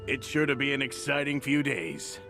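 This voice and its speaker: A man's voice: mid-range voice, expressive, normal speed, gravelly, music in the background. A man voicing a polite, formal male knight officer, acted for a game. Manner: courteous, formal. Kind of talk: game voice acting.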